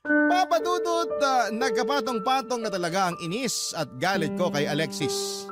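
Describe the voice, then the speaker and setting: A Filipino man narrates a scripted personal story in radio storytelling style. His voice sits fairly high, with loud music playing behind it. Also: lively intonation, normal speed. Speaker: radio storyteller reading a letter. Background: prominent background music.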